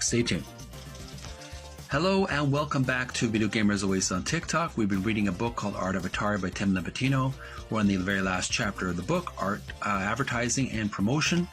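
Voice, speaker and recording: Man, video host, medium pitch, show-and-tell narration, reads aloud in short paused phrases, music behind. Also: a bit bright.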